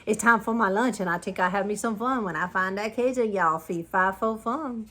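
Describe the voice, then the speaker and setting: A woman's voice: medium pitch, normal speed, sharp tone. Woman putting on a hungry bossy giant. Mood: menacing. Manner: rhyming storybook voice, growling demands. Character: booming hungry male giant, bossy and mean.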